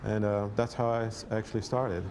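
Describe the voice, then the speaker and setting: Low-voiced man from Iraq, halting. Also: level tone. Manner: hesitant, halting with fillers. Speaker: artist. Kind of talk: documentary interview.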